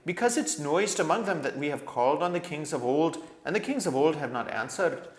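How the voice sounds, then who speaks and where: A man's voice: on the high side, animated, a little fast, roomy sound, pitched above the performer's own voice, natural voice. A man voicing a loyal talking badger in a storybook, earnest, firm and reproving. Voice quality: thick voice.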